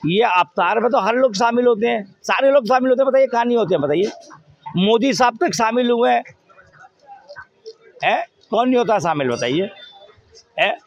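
A man's voice: extremely high pitch, lively intonation, moderate pace, pauses often, no room sound. A man argues his view in a street interview. He is emphatic and keeps throwing out rhetorical questions. The recording is somewhat dull, taken on a handheld mic. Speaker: local resident interviewed on the street. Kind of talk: street interview, animated opinion. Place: outdoors in a busy market street. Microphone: handheld reporter's microphone.

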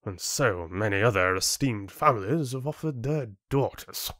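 A man's voice: lowish voice, lively intonation, moderate pace, a bit bright, no echo, studio quality. A man voicing an arrogant, gluttonous older chieftain in a fantasy audiobook, pompous and dismissive in a theatrical way. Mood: scornful, dismissive. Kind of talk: audiobook character acting.

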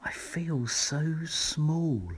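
A man voicing a tiny, earnest female sea snail in a breathy, whispery storybook voice. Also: fairly high pitch, animated, extremely slow, voice pushed up, heavily acted. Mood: awed, small.

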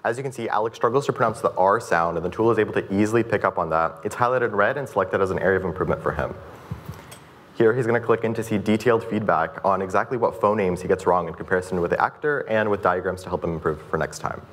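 A young man from Kyrgyzstan, a researcher, walking through a product demo on stage in a brisk, explanatory way. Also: lowish voice. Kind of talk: scripted demo presentation. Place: stage in a mid-sized event room.

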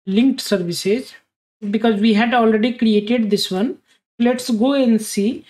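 A woman's voice: mid-range voice, darkish tone. Woman narrating a screen tutorial step by step. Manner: thinks aloud while clicking through steps; slightly non-standard English phrasing. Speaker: tutorial presenter. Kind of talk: screen-recorded software tutorial.

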